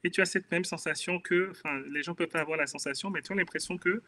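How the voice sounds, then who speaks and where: A man's voice: a little high, fairly even intonation, quick pace, sharp tone. A man from Benin with a Beninese accent, telling his own life story in an earnest, reflective way as a guest in a casual interview. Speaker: guest, author.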